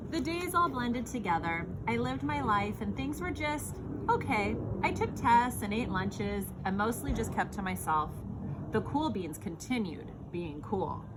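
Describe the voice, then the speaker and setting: A woman reading a children's picture book aloud in an expressive, theatrical storytelling manner. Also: on the high side, unhurried, heavy background noise. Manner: animated, theatrical storytelling with exclamations.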